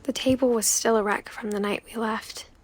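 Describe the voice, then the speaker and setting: A young woman voicing a reflective seventeen-year-old girl, narrating a story game in a soft, measured, wistful way. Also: on the high side, steady tone, slight background noise, lightly acted. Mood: matter-of-fact, wistful. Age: young adult. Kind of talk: scripted first-person game narration. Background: prominent music.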